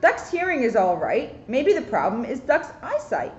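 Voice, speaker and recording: A woman voicing a composed, puzzled lady teacher from a storybook in a deliberate, questioning manner. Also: on the high side, very animated. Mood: thoughtful. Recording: roomy echo, muffled, faint noise.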